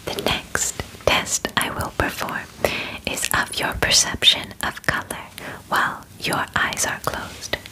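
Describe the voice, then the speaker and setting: A female alien examiner, acted out by a young woman in an ASMR roleplay. She whispers softly and breathily, very close to the mic. Her instructions come slowly and deliberately, formal and clinical yet reassuring. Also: rough recording. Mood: calm, clinical. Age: young adult. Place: bedroom, indoors. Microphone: close sensitive microphone.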